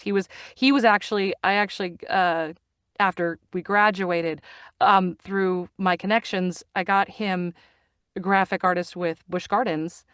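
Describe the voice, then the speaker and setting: This is a middle-aged woman talking as a guest, winding through stories with plenty of fillers and restarts. Her voice is smooth and resonant. The recording is dry, with no room sound. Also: lowish voice, no room sound. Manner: chatty, animated storyteller with frequent fillers and laughs. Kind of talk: casual interview chat.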